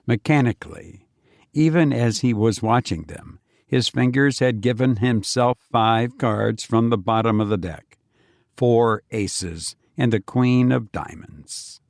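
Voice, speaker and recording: Slow, dramatic male story narrator. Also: mid-range voice, vocal fry, darkish tone, dry recording, studio quality. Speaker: audiobook narrator.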